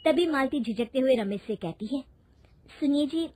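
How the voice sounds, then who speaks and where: A woman's voice: high voice, lively intonation, brisk, darkish tone, breathy edge. A woman narrating a scripted moral story as a storyteller.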